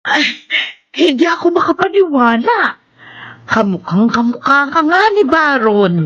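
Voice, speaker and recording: A woman's voice: high voice, lively intonation, measured pace, a bit bright. A woman playing an apologetic older mother in a Tagalog radio drama. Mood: astonished. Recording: muffled, dull audio.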